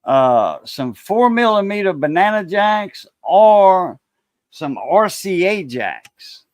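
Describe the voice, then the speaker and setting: A man's voice: sits high, very animated, takes it slowly, no echo, studio quality. A man about to turn sixty chatting casually on a live stream, laid-back, laughing easily and using many fillers. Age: 50s. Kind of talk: casual chat.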